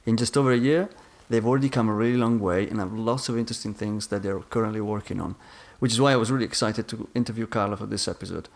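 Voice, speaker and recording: A man's voice: on the low side, steady tone, fast, vocal fry, hardly any pauses. A man hosting a podcast, reading a scripted intro and then asking interview questions, at times stumbling over his words. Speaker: podcast host.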